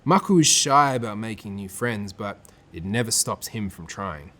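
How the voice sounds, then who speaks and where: Animated Australian man reading to children. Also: lowish voice, moderate pace. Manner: expressive, clear phrasing for children. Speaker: storytime presenter and reader.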